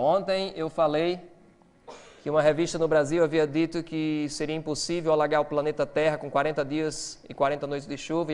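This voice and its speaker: A middle-aged man preaching a lecture-style sermon, animated, swinging between quiet explanation and loud excitement. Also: a little high, clear, resonant tone.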